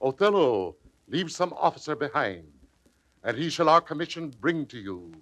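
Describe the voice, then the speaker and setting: A man's voice: a little high, very animated, normal speed, a bit bright. An actor playing an authoritative, courteous statesman, giving orders in formal, declamatory classical stage style. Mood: commanding, urgent. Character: authoritative male ruler, brisk, courteous statesman. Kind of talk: classical stage acting in audio drama.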